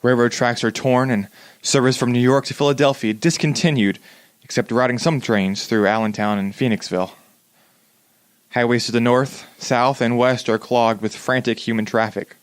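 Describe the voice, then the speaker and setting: A man's voice: medium pitch, no room sound, close to an everyday voice. A man playing a radio news announcer in a 1930s-style drama, reading bulletins in a formal, grave manner.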